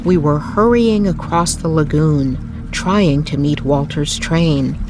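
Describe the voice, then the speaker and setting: A woman reading a bedtime story as narrator, in a soothing, measured, literary delivery. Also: low voice, noisy background.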